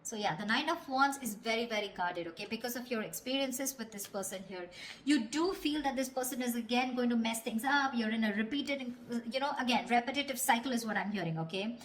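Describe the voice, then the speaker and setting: A woman with a fairly high voice works as a tarot reader, talking straight to the listener. Her delivery is casual and conversational, with a blunt, unfiltered manner that allows for some swearing. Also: a little fast, a little hiss or hum, rough recording. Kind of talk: casual spoken tarot reading.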